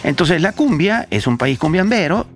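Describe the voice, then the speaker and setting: A man's voice: fairly high pitch, sing-song, normal speed. A man from El Salvador with a Salvadoran accent, hosting a casual talk show in an emphatic way, repeating phrases for stress. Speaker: host. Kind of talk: casual radio-style talk with co-hosts. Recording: music playing behind the voice. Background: background music.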